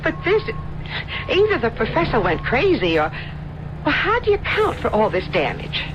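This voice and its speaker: A woman's voice: a little high, huge pitch swings, normal speed. A woman acting a down-to-earth, skeptical innkeeper's wife in an old-time radio mystery, folksy and scolding. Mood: worried. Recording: muffled old radio broadcast under engine noise.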